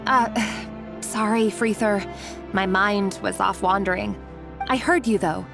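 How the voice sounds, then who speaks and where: An actress playing an earnest, secretive and protective young woman in a video game, measured and resolute over background music. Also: mid-range voice, fairly even intonation, creaky. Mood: earnest, guarded. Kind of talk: scripted video game voice acting. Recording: game dialogue with music underneath.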